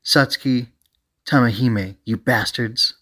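A man voicing a hot-tempered, bossy female police squad leader in an indignant, loud audiobook read. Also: medium pitch, takes it slowly, voice pushed up.

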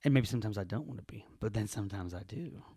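A man in his fifties, a youth pastor and comedian, chatting casually on a podcast with a joking, animated manner. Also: lowish voice, fast talker, slightly rough, no room sound, clean studio sound. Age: 50s. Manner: jokey, animated storyteller.

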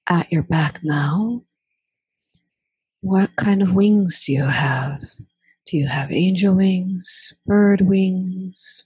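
A woman leads a guided meditation very slowly, in a soft and very breathy voice. Her tone is mellow and hushed, with soothing, repeated phrases and long pauses between them. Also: on the low side, no echo.

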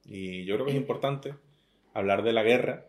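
A man's voice: lowish voice, normal speed. A middle-aged man with an Andalusian Spanish accent, a poet giving an interview, joking about himself and reading his sonnets aloud. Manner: self-deprecating, joking, restarts words often.

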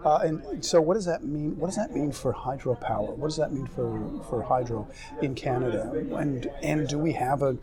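A man hosting a podcast interview at a conference, asking questions in a halting way full of restarts and fillers. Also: mid-range voice, lively intonation. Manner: hesitant, many restarts and fillers. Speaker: podcast host. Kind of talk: conversational interview. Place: conference venue. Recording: busy crowd chatter behind.

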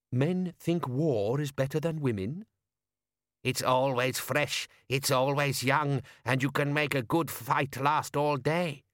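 A man's voice: a little high, a bit bright, dry recording, clean studio sound, pitched above the performer's own voice. A man voicing a toothless, cackling, very old village woman who teases the young women. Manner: cackling, teasing.

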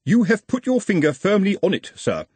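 A man's voice: sits high, sing-song, a little fast, a little mellow, slightly rough, no echo, pitched above the performer's own voice, full character voice. A man voicing a bumbling, self-important male servant in a storybook, wordy and obsequiously deferential.

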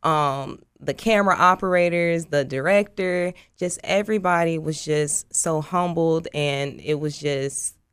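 A woman chatting as an interview guest in an animated, colloquial way, acting out what people say. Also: low-pitched, a little slow, few pauses, clean tone, no room sound, studio quality. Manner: animated, colloquial, acts out quotes. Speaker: guest, actress. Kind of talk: casual interview chat.

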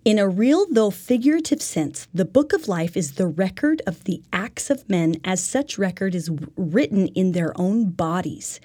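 A woman's voice: on the low side, dark tone. A woman presenting a religious self-help lesson in an earnest, instructive way.

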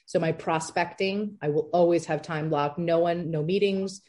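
A woman in her thirties, an entrepreneur answering interview questions in a chatty, lively way with many fillers. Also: on the low side, moderate pace. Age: 30s. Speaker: entrepreneur guest.

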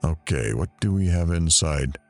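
Very deep-voiced, dry male investigator, played by an actor. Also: normal speed, darkish tone, soft background music. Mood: wry, matter-of-fact. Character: dry, sardonic male investigator searching rooms. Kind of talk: audio drama acting.

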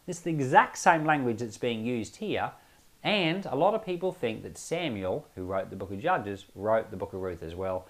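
Lively male pastor teaching the Bible conversationally. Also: mid-range voice, moderate pace. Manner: explanatory, emphatic, with rhetorical asides. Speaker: pastor giving Bible commentary.

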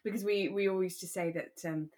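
A British woman co-hosting a podcast in a relaxed, chatty and reflective discussion of a radio drama. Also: mid-range voice, brisk. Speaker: podcast co-host.

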